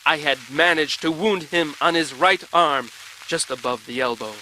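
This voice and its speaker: An actor playing a melodramatic male adventurer, narrating a perilous tale in florid, drawn-out phrases for a game. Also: a little high, pushed and bright, poor audio. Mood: desperate, grave. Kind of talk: dramatic first-person narration. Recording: rain and thunderstorm noise behind. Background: rain.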